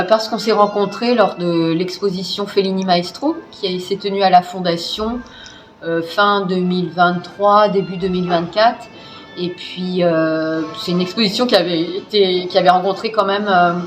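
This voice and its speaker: A woman's voice: on the low side, fairly even intonation, unhurried, soft background music. A woman from a cultural foundation, chatting loosely in an interview and filling gaps with little words. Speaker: foundation representative.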